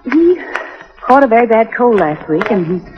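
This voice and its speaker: A protective, quick-witted young woman in an old radio drama. Her intonation is animated, polite yet firm and gently teasing, on a dull 1940s recording. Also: a little high, over music.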